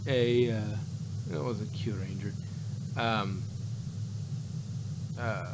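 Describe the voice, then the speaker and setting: Guy doing a casual review chat, kinda halting and rambly, clear hum in the background, mid pitched voice. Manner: rambling, halting, often trailing off and searching for words. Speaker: podcast co-host reviewing audio drama.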